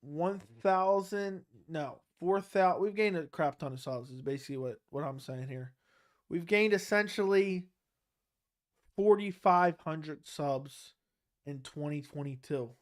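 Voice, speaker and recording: A man's voice: a little high, measured pace. A man hosting a casual livestream, reading questions from chat and answering them bluntly while his throat is sore.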